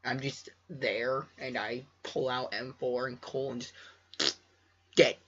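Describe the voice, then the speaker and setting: A man's voice: medium pitch, fairly even intonation, slow pace, noisy background. A man reading a story aloud with casual joking asides, stumbling over words and rambling self-deprecatingly. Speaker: amateur fanfiction reader. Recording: muffled lo-fi home recording.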